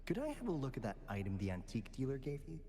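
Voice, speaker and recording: Theatrical island guardian, swooping and echoing. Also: male voice, medium pitch, huge pitch swings, warm tone, a little hiss or hum. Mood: pensive, curious. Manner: theatrical, dramatic pauses and flourishes. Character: theatrical, playful male guardian of a secret island order, knowing and flamboyant. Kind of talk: video game voice acting.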